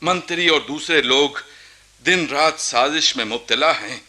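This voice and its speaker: An actor playing a proud, embattled young nawab in a theatrical radio drama, with a regal, rhetorical and sardonic way of speaking. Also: male voice, fairly high pitch, a little slow, sharp tone, exaggerated voice. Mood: sardonic, aggrieved. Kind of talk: theatrical Hindi radio-play acting.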